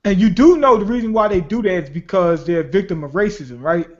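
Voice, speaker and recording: A man's voice: high voice, a little fast, a little mellow. A man debating bluntly and profanely in a heated casual conversation. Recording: muffled lo-fi audio.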